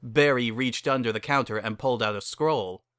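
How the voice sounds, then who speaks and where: A man's voice: medium pitch, normal speed, fairly bright tone, dry recording, studio quality. A man narrating a piece of fan fiction as a dramatic reading. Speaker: story narrator.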